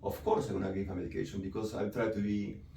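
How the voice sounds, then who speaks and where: Brief: male doctor, deep mellow voice, recorded far off in an echoey room with faint hiss. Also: level tone, fast talker, gravelly. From Peru. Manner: explanatory, frequent "right?" and "you know" tags. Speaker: psychiatrist. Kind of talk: interview answers. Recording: echoey room, mic from a distance, faint noise.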